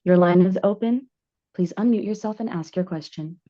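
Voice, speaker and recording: A woman's voice: lowish voice, normal speed, dark tone, no room sound. A woman working as a conference call operator, making scripted announcements to open callers' lines. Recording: dull, band-limited conference line.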